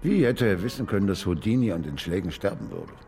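Casting: older gentleman author, radio play, lowish, animated delivery, proud and defensive. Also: quick pace, soft background music. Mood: smug. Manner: indignant, dignified, dramatic. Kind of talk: German radio drama acting.

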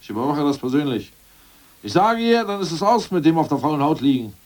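A man playing a crude, scheming ousted party functionary in a radio play, boastful and plotting, muttering one moment and loud the next. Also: fairly high pitch, big pitch swings, a little fast, far from the mic. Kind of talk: 1980s radio play acting.

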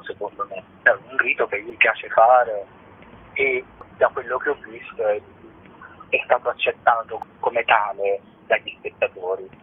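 A man, speaking reflectively as an interview guest, with halting phrasing and searching pauses. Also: fairly low pitch, slight background noise. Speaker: guest, singer and stage performer. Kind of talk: spontaneous radio interview. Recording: muffled phone line into radio.

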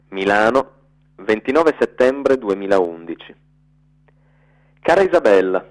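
A man giving a theatrical, storytelling reading of a literary letter for radio, in an expressive narrator's delivery. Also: fairly low pitch, poor audio.

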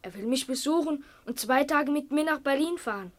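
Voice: high voice, lively intonation, a little fast. A performer in a radio play playing a stoic, lonely schoolboy, thoughtful and matter-of-fact. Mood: calm, resigned.

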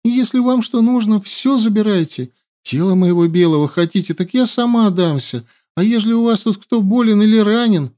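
Audiobook: male reader doing a sly young woman spy, high and whiny, pitch jumps all over. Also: animated, moderate pace, warm tone. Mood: pleading. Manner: whiny pleading. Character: young woman herbalist spy, cunning, wailing.

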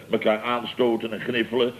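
A man's voice: mid-range voice, steady tone, normal speed, dull audio, natural voice. An actor playing a boastful, self-important male building contractor with a Dutch accent, telling tales in a pompous, stammering, chuckling way in a radio comedy serial. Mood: boastful, indignant. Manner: pompous, stammering repetitions, chuckling, mock indignation.

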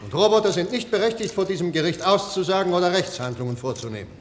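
An actor playing a stern, sceptical and dignified judge in a German radio drama, formal and authoritative. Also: male voice, sits high, animated, fast. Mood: sceptical, indignant. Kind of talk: 1960s-1990s German radio drama acting. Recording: reverberant hall, old radio play.

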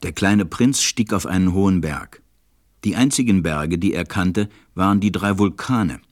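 A man narrating a fairy tale as a measured, reflective storyteller in a radio play. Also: low-pitched, soft background music, close to an everyday voice. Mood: calm, reflective.

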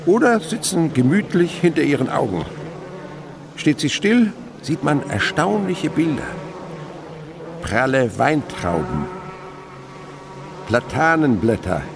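Gravelly, animated older insect researcher. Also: male voice, a little high, takes it slowly, pauses often, background noise, exaggerated voice. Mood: absorbed, explaining. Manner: scholarly, lyrical, patiently instructive. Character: ageing French insect researcher, uncle and mentor, dreamily enthusiastic. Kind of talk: German radio play acting.

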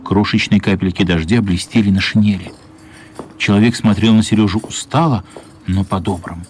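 A man narrating a children's radio play as scripted storytelling, measured and expressive, with pauses. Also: on the low side, a little hiss or hum. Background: music.

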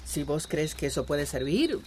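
An actress playing an agreeable, practical village woman in radio drama dialogue, calm and supportive. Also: low-pitched, normal speed, a little hiss or hum. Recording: outdoor birds and crickets behind.

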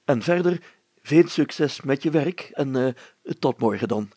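A man voicing a pompous, excitable mayor in a storybook reading, grandiose and declaiming. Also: medium pitch, a little slow, husky, no echo. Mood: effusive, self-important. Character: pompous, excitable middle-aged male mayor, theatrical and effusive.

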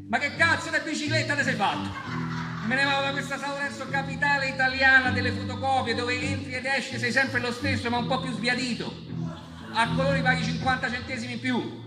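A man with a Roman accent performing a comic stage monologue in an emphatic, colloquial way, building on repeated refrains. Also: very high-pitched. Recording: echoey, with music behind. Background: music.

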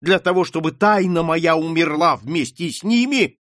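A man's voice: a little high, animated, unhurried, no echo, studio quality. A man voicing an anguished young daughter who pleads with her mother, speaking in an impassioned, rising way in an audiobook reading.

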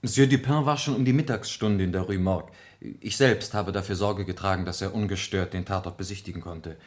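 A dutiful police lieutenant played by a man in a radio drama, with a fairly low voice. He reports to his superior in a correct, deferential way. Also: brisk, natural voice. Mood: dutiful, factual. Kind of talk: German radio play acting.

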